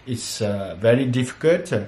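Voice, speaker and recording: A man in his thirties from Tibet, speaking as a non-native with a Tibetan accent, chatting casually and haltingly as a family vlogger and repeating himself. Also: mid-range voice, lively intonation, a little mellow. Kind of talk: casual vlog chat. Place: small bright room at home. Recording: echoey room, faint background noise.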